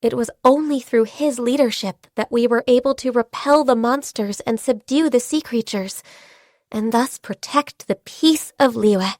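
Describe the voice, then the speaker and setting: An actress playing a gentle, diligent, shy and youthful half-beast secretary in a video game, speaking politely and earnestly. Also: female voice, fairly high pitch, measured pace, dry recording, studio quality, close to an everyday voice. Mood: earnest, formal. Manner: polite, earnest, hesitant when flustered. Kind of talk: video game character voice lines.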